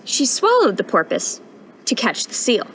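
A young woman reading a picture book aloud at storytime in an expressive, sing-song rhyming delivery, recorded outdoors. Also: fairly high pitch, unhurried, slight background noise, poor audio. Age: young adult. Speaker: storytime reader, intern. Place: outdoors on a wooden porch.

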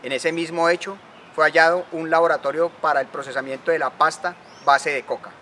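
A man giving a formal, deliberate report on military operations. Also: a little high, steady tone, pushed and bright, a little hiss or hum. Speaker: army spokesman.

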